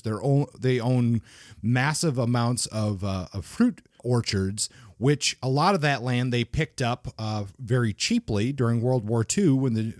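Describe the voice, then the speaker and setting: A man's voice: mid-range voice, no echo. Podcast guy mulling over a book, restarts a lot, dead dry sound. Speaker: podcast co-host. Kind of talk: casual book discussion.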